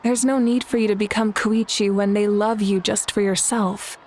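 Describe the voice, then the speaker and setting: A woman voicing a composed, logical and compassionate female leader in a video game, speaking in a measured, matter-of-fact way. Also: mid-range voice, steady tone, darkish tone, slight background noise, lightly acted. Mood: gentle, solemn. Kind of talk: scripted video game voice acting. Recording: music behind the voice.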